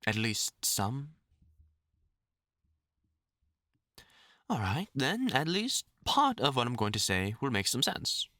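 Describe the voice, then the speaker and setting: A man's voice: mid-range voice, wildly swooping pitch, unhurried, sharp tone, dry recording, full character voice. A man with a British accent playing a courteous magician in an audio drama, explaining things formally and apologetically with some hesitation. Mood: calm, explanatory. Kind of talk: character audio drama monologue.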